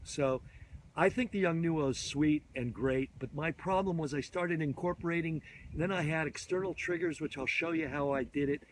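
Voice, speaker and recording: A man in his fifties, a bit hoarse from a cold, giving an enthusiastic, rambling gear tutorial outdoors on a field, filmed on a phone at arm's length. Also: fairly high pitch, moderate pace, a little hiss or hum, poor audio. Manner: chatty, enthusiastic, rambling with restarts. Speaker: photography instructor. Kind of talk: casual gear tutorial to camera. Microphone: phone or camera at arm's length.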